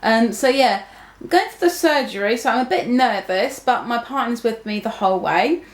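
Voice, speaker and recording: A young woman chats straight to her viewers in a fairly high voice. She rambles, stops and restarts, with lots of fillers along the way. The sound bounces around an echoey room. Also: expressive, moderate pace. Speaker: vlogger. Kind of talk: casual talk to camera.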